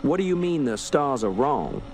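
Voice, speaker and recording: An actor playing a courteous, skeptical police inspector with a US Southern accent, speaking in a folksy, measured way in a radio drama. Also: male voice, medium pitch, sing-song, darkish tone, a little hiss or hum. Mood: skeptical questioning.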